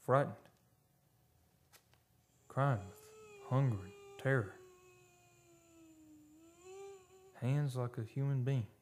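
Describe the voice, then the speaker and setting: Guy playing a spooked oil driller in a radio play, halting and intense, medium pitch, bit of noise. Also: darkish tone, close to an everyday voice. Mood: haunted dread. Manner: halting, repeating words, dramatic. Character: haunted oil driller, frightened yet obsessed, menacing storyteller. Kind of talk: live radio play monologue in old-time radio style. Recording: live studio radio play, noisy background.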